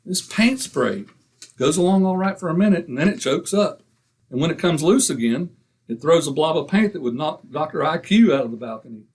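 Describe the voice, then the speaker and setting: Give me a boastful, wisecracking husband in an old radio comedy. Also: male voice, on the high side, lively intonation, a little fast, breathy edge. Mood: brash. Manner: brash, wisecracking. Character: boastful, blustering middle-aged husband. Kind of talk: 1940s radio comedy acting.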